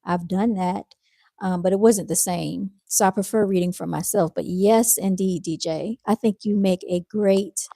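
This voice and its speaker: A woman with a soft-spoken, soothing voice who talks in a calm, unhurried way, hosting a casual live chat with her viewers. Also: mid-range voice, vocal fry, no room sound, clean studio sound. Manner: calm and unhurried, with fillers and restarts. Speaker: live-stream host reading viewer comments.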